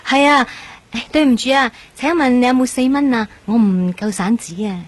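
A woman's voice: fairly high pitch, normal speed, close to an everyday voice. An actress playing an earnest, flustered young woman in a radio drama, speaking Hong Kong Cantonese in a polite, insistent, talkative way. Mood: embarrassed, anxious.

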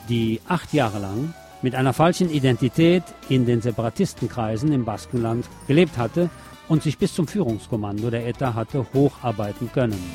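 A man's voice: medium pitch, warm tone. A man presenting a scripted film review as a radio host.

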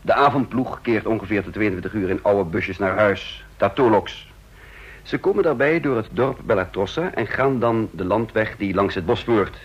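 A low, husky male voice plays a commanding, shrewd leader of a secret operation in a radio drama. He is clipped, authoritative and sure of himself. The old recording sounds dull. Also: normal speed. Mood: brisk commanding. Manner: clipped, authoritative, matter-of-fact. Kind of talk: Dutch radio drama dialogue.

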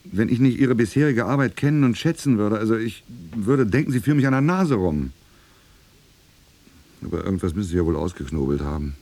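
Low-voiced, brusque secret police boss. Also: male voice, lively intonation, fairly quick, darkish tone, no echo. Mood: sceptical. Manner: brusque, sarcastic, colloquial. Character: gruff, sceptical male East German secret police superior. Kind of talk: radio play dialogue.

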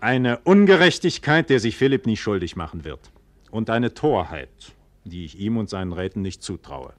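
A proud, impassioned nobleman in a classical radio play. He speaks in a fairly low voice with emphatic, theatrical rhetoric, recorded dry without room sound. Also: sing-song, normal speed, no room sound. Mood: indignant, confident. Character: proud, trusting, impassioned nobleman scorning caution. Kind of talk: classical German stage drama for radio.

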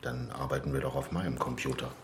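A man's voice: very deep voice, fairly even intonation, fast, gravelly. A man playing a courteous, slightly fumbling politician in a radio drama, polite and hesitant. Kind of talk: naturalistic radio drama acting. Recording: echoey room with background noise.

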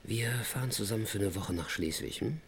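A man's voice: lowish voice, brisk, noisy background, natural voice. A man playing a laid-back guitarist boyfriend in a radio play, casual, hesitant and soothing. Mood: coaxing. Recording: loud music and train sound behind.

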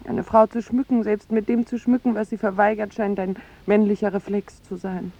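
A woman's voice: mid-range voice, level tone, normal speed, mellow, lightly acted. An actress playing a charming, proud and tender young woman in a 1950s radio drama, with formal, delicate, theatrical phrasing. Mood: wounded, resolute. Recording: dull 1950s radio recording.

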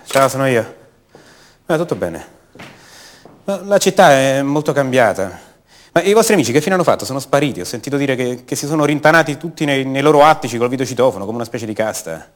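An actor playing an ordinary, self-doubting man back home, speaking a halting, conversational monologue in a radio drama. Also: medium pitch, very animated, close to an everyday voice. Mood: casual, reassuring. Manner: halting, conversational, rhetorical questions to the listener.

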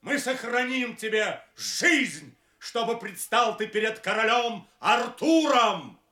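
An actor playing a furious accusing knight in a theatrical radio play, shouting and taunting. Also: male voice, very high voice, lively intonation, takes it slowly, roomy sound, heavily acted. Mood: furious, triumphant. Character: furious accusing male knight hunting a traitor.

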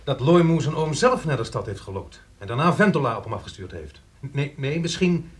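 An actor in a Dutch radio play playing an agitated, indignant, sour friend who insists and repeats himself. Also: male voice, on the high side, sing-song, fairly quick. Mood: bewildered, peeved.

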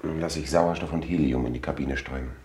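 A man's voice: very deep voice, fairly even intonation, quick pace, a little mellow. A man playing a formal, deferential physician to a statesman in a 1950s radio space drama. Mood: attentive, formal. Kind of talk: 1950s German radio play acting. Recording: noisy vintage 1950s radio recording.

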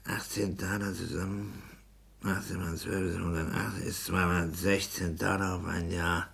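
An actor in a 1960s German radio play, playing a smug, lecherous middle-aged petty official with a pompous, sly manner. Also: male voice, low-pitched, little pitch movement, moderate pace, gravelly, a bit bright. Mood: calculating, pleased. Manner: pompous, sly, matter-of-fact. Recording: noisy 1960s radio recording.

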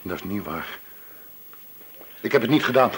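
A man's voice: lowish voice, expressive, normal speed, fairly bright tone, a little hiss or hum. A man playing an evasive murder suspect in a radio drama, hesitant and defensive under questioning. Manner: hesitant, guarded, protesting.

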